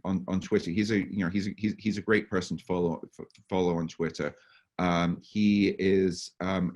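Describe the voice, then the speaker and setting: A man with a hoarse, fading voice and a cough, answering workshop questions over a video call with many stammered restarts and fillers. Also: deep voice, darkish tone. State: hoarse, losing his voice with a cough. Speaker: workshop presenter.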